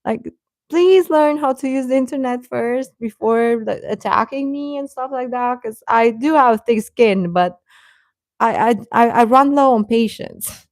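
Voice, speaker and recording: A woman chatting casually as host of a live stream, rambling and halting, with a sarcastic streak. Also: a little high, no echo. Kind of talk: casual live-stream chat about pop fandom.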